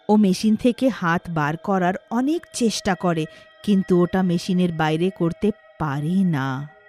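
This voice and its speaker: A woman's voice: medium pitch, warm tone. A woman working as a story narrator, reading a scripted moral tale.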